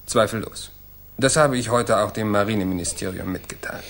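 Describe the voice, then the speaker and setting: Low-voiced, guarded government official. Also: male voice, a little fast, a bit bright, slight background noise. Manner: guarded, earnest, formal. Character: defensive, worried male government office official. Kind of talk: 1960s German radio play acting.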